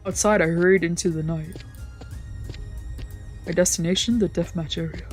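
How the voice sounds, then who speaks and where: A streamer narrates a visual novel in the first person. The reading is dramatic and brooding, at a middle pitch, with game music underneath. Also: fairly even intonation, normal speed. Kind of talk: dramatic narration with character voices.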